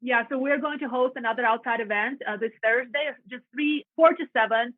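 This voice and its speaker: Woman, Russian accent, thirties, chatty and earnest, higher voice, dry tinny phone-like sound. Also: a little fast, no room sound. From Latvia. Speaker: realtor guest. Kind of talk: casual podcast interview.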